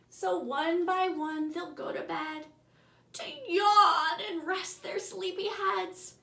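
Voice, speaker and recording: A woman reads a children's bedtime story in a high, lively voice. Her delivery is very slow and theatrical, shaping each rhyme with care and playing with dynamics. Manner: expressive, theatrical, swinging from booming to hushed whispers. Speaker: author reading her own picture book aloud. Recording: roomy, echoing room sound.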